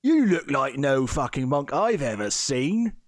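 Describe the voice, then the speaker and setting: Man putting on a suspicious nosy townsman, pitched up. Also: big pitch swings, measured pace, no echo, studio quality. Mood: hostile, challenging. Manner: blunt, accusing, repeats himself. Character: suspicious, nosy male townsman challenging strangers.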